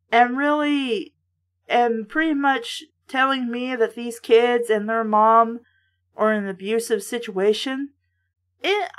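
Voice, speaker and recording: A woman with a fairly high voice reads and reviews in a casual way. She is blunt and sardonic, and swears freely as she comments. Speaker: book reviewer and host. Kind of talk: casual read-aloud with running critical commentary.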